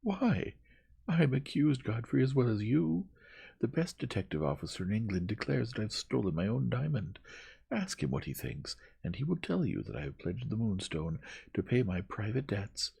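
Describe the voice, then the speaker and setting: A man's voice: on the low side, wildly swooping pitch, moderate pace, creaky, a little mellow, slightly rough, pitched above the performer's own voice, full character voice. A man voicing a passionate, frantic young lady in a dramatized audiobook of a Victorian novel, vehement and emphatic. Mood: hysterical.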